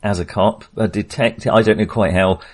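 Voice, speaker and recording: A man's voice: fairly low pitch, fairly even intonation, a little fast. A man casually discussing a film as a podcast co-host, in a hesitant, rambling way.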